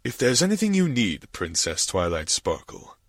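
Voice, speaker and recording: A man acts out a military stallion from a fan fiction audio story. He is lowish in pitch with big swings in intonation. He drawls with suave, courtly authority. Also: wildly swooping pitch, normal speed, no echo, full character voice.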